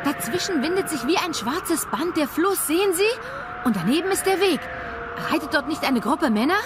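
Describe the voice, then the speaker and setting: An actress playing an excitable, anxious young wife in a horror radio drama, with dramatic delivery. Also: female voice, high-pitched, normal speed, noisy background. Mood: tense.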